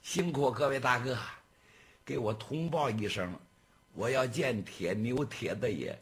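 A man's voice: medium pitch, a little slow, slightly rough. A man voicing a desperate, fawning male steward in a traditional storytelling piece, whining and stammering as he begs.

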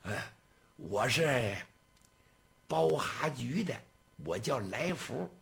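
A man's voice: medium pitch, lively intonation, slow pace, vocal fry, a bit bright, breathy edge, heavily acted. A man voicing a desperate, fawning male steward in a traditional storytelling piece, whining and stammering as he begs.

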